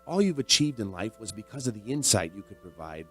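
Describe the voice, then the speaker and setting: A man's voice: lowish voice, animated, a little fast, faint music behind, lightly acted. An actor playing an earnest, sentimental male bartender who tells a strange tale in a dramatic radio play style. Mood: pleading. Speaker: bartender and storyteller. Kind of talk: radio drama acting and narration.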